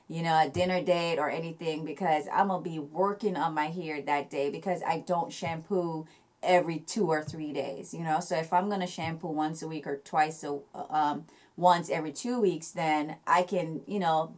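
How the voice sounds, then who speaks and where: A young woman with a Jamaican accent, chatting casually to camera about her hair care, with lots of fillers and restarts. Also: fairly low pitch. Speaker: hair vlogger.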